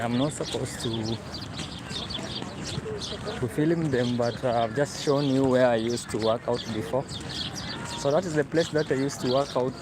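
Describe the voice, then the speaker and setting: A young Kenyan man with a Kenyan accent, vlogging live to viewers as he walks. He chats along, repeats himself and speaks straight to his audience. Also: mid-range voice. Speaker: travel vlogger. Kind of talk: walking livestream vlog. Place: outdoors on a city street. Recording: street noise around the phone.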